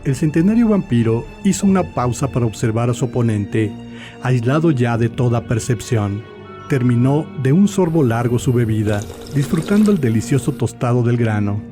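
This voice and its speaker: A man reading scripted literary narration for a dark fantasy audio story. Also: mid-range voice, expressive, normal speed. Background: faint music.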